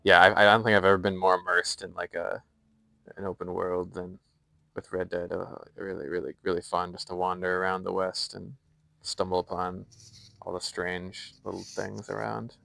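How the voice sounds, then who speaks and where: A man's voice: low voice, little pitch movement, moderate pace, vocal fry, bright, forceful tone, dry recording. A young American man chatting casually on a live stream while playing a game and reading its lore aloud. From United States.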